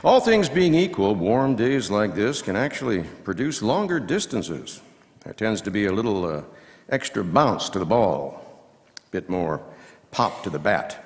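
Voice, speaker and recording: A man performing as a folksy baseball radio commentator, calling play-by-play in measured, punchy phrases with dramatic pauses. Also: lowish voice, very animated. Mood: steady, folksy. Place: live reading in a large reverberant hall. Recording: echoey hall with long reverb.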